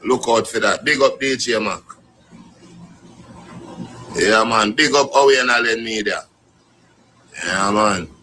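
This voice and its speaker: A man with a Jamaican accent giving relaxed, rolling shout-outs to fans in casual patois on a live stream. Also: fairly low pitch, takes it slowly, pauses often, a little hiss or hum, poor audio.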